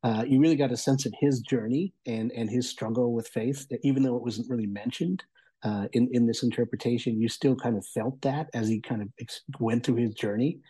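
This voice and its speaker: A man with a mellow, soft-edged voice and a husky grain talks through a review as a guest. His delivery is conversational and thoughtful, often halting as he works toward his point. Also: mid-range voice, steady tone. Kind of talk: casual spoken review.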